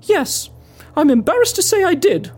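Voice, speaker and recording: A woman's voice: very high voice, sing-song, moderate pace, darkish tone. A woman playing a haughty, indomitable older Victorian lady adventurer with a pompous, dramatic manner in a comic period drama. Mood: resolute. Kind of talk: spoken logbook narration, comic period drama.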